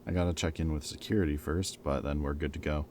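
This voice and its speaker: Casting: man as wry ex-villain friend, bottom-of-range dark voice, conversational and earnest, some noise behind. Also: a bass voice, fairly even intonation, quick pace. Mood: gentle, concerned. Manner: casual, teasing, earnest. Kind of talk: roleplay audio drama acting, conversational.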